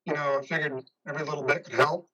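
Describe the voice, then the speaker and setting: A man's voice: a little high, fairly even intonation, quick pace, bright, forceful tone, far from the mic, highs cut off. A man playing a loyal, awkwardly sympathetic buddy in an amateur play reading, casual and hesitant. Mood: awkward, supportive. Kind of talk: amateur reader's theater over video.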